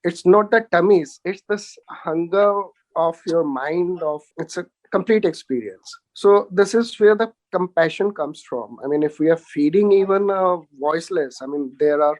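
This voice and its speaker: A man's voice: sits high, normal speed, low-quality recording. A man speaking conversationally as a guest in a panel discussion. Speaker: panel guest, entrepreneur and volunteer.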